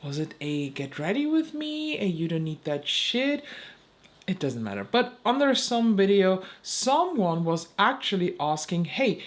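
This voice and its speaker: A woman's voice: medium pitch, very animated, a little slow. A young woman, a beauty creator giving blunt opinions to camera, swearing casually and stumbling over words now and then. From Germany. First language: German.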